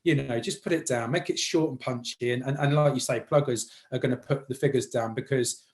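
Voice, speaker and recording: Male interviewer, very quick casual delivery, informal and discursive. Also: mid-range voice, a little mellow.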